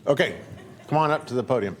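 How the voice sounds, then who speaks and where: A man chairing a public commission meeting in a relaxed, procedural way. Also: medium pitch, fast, a little hiss or hum. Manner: relaxed and procedural, uses fillers.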